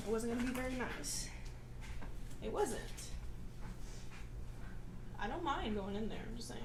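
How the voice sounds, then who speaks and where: Young woman rambling sweetly to her partner, slightly high voice, household noise behind. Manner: casual, affectionate, chatty. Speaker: audio roleplay creator. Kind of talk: rambling roleplay chat to a partner. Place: home, with laundry noise behind. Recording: echoey room with household noise.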